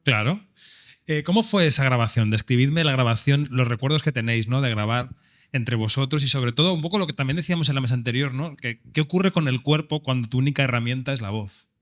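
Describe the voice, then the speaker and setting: A man's voice: medium pitch, fast. A man moderating a panel, prompting guests in informal, conversational questions. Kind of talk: informal live interviewing.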